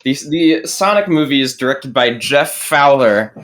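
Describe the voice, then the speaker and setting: Mid-pitched man hosting a casual podcast. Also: expressive, unhurried, a bit bright. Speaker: podcast host. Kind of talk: casual chat, moderating.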